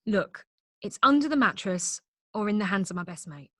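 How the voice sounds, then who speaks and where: A British actress reading a breezy, blunt artist and loyal best friend in a table read over a video call. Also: female voice, mid-range voice, animated, normal speed, bright, forceful tone, no room sound, studio quality. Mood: breezy, wry.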